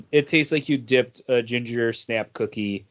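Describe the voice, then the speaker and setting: A man's voice: medium pitch, unhurried. An American man speaking casually as a podcast co-host. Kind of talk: casual chat. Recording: dull lo-fi voice recording.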